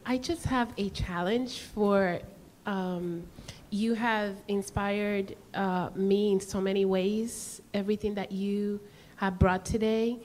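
A native Spanish-speaking woman making an earnest, halting comment from the audience at a lecture. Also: medium pitch, steady tone, darkish tone. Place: indoor hall.

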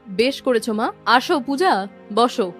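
Woman acting high-voiced, aggrieved young wife. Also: lively intonation, moderate pace, faint music behind, natural voice. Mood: firm, reproving. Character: aggrieved, fair-minded young daughter-in-law. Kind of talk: children's cartoon voice acting.

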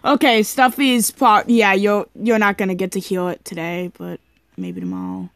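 A woman chatting casually while gaming, excitable and given to exclaiming in frustration. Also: mid-range voice, normal speed, no room sound. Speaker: gaming video creator. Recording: noisy home recording with clicks.

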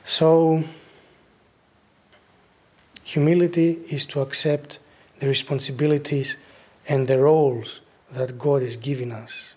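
A middle-aged man with a Greek accent giving a spiritual talk as an Orthodox abbot, deliberate and instructive, pausing between phrases. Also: mid-range voice, steady tone, a little mellow, muffled recording. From Greece. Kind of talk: spiritual talk, reflective preaching. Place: small church, indoors at a lectern.

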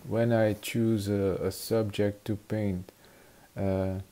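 A Flemish-accented man in his forties, speaking English as a second language. He talks slowly and haltingly, pausing to search for words, and his voice sits a little low. He is recorded on a webcam in an attic studio. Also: warm tone. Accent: Flemish Dutch. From Belgium (Flanders). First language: Dutch. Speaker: watercolor artist teaching. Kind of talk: hesitant tutorial narration. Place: attic studio with wooden beams.

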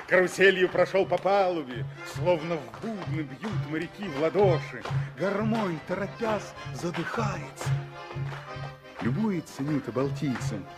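A man as the lyrical storyteller narrating a 1960s Soviet radio play in dramatic, scripted style. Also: sits high. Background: music.

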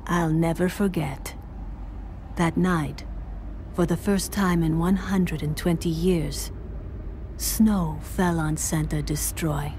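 A woman's voice: fairly low pitch, fairly even intonation, takes it slowly, a little mellow, a little hiss or hum. An actress playing a mysterious, wistful female storyteller, narrating a video game cutscene in a dramatic manner. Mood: wistful, ominous.

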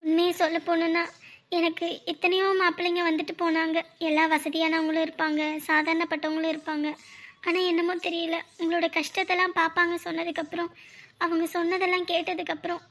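A woman playing a shy, earnest young woman in love in a romantic drama, speaking softly and hesitantly. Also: high voice, fairly even intonation, measured pace, pauses often. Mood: quietly earnest. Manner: soft, hesitant. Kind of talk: romantic story drama acting.

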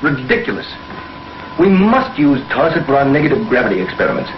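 An actor playing a stern, skeptical scientist in a 1950s television serial, emphatic and declamatory. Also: male voice, medium pitch, sing-song, a little fast, lightly acted. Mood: resolute, scornful. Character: stern, determined, skeptical male space scientist. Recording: echoey, muffled, faintly noisy old TV soundtrack.

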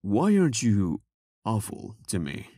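A man playing a stoic, world-weary young outcast with a deadpan, formal way of talking in a comedy dub. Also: fairly low pitch, a little slow, no echo, clean studio sound, exaggerated voice. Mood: dry. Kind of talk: comedic parody dub acting.

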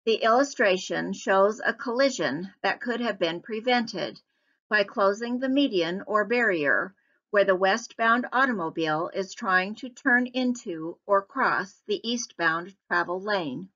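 A woman reads a formal public hearing presentation as a scripted voice-over. Her tone is bright and her delivery is even and measured. The audio is slightly dull and lo-fi. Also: mid-range voice. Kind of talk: scripted narration.

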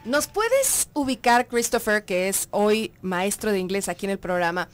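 A woman's voice: mid-range voice, animated, moderate pace, soft background music. A young Mexican woman with a Mexican Spanish accent, hosting a morning radio talk show, chatty and full of questions. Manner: chatty, asking questions, greeting listeners. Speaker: radio host. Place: radio studio. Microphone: studio microphone on a boom.

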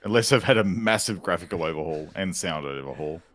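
A man's voice: low voice, animated, quick pace, a bit bright, dry recording. A middle-aged male podcast co-host chatting casually, recorded close on a microphone in a small home room. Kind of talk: casual chat. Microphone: close boom-arm microphone, headset monitoring.

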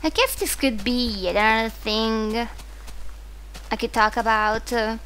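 Young woman, Italian accent, rambling gameplay chatter, somewhat high voice, faint hiss behind. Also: steady tone, slow, fairly bright tone, low-quality recording. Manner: chatty, rambling, frequent restarts and fillers. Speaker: gaming video host. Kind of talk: casual commentary while playing.

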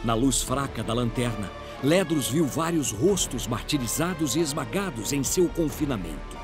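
A Brazilian man narrates a fantasy audio drama. His delivery is dramatic and scripted, with a widely varied pitch in a mid register. Music plays clearly behind him. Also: lively intonation, moderate pace.

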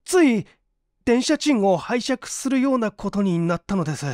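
A man's voice: high voice, animated, moderate pace, a little mellow, no room sound, studio quality, pitched above the performer's own voice. A man playing an earnest, penniless young man in a story reading, humble and apologetic, pleading with effort. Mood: desperate, ashamed.

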